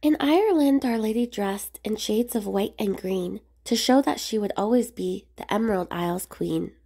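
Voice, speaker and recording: A woman reading a rhyming children's picture book aloud in a measured, expressive sing-song way. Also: medium pitch, creaky. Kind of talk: storybook read-aloud narration of rhyming verse.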